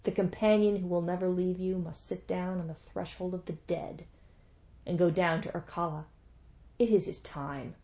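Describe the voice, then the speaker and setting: A woman's voice: lowish voice, steady tone, moderate pace, warm tone, lightly acted. A woman voicing a cold, vengeful goddess in a storybook reading, stern and commanding.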